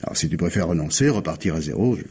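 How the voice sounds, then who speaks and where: An actor playing a scheming, persuasive husband in a French radio drama, with an accent from France and an insistent, coaxing way of reasoning. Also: male voice, low-pitched, lively intonation, very fast, dark tone, breathy edge, full character voice. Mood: coaxing, decided. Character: scheming, persuasive husband desperate to save his home.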